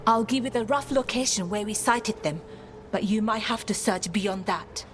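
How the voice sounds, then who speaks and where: A woman's voice: mid-range voice, normal speed, faint music behind, close to an everyday voice. An actress playing an earnest, concerned woman scout of the forest in a fantasy video game.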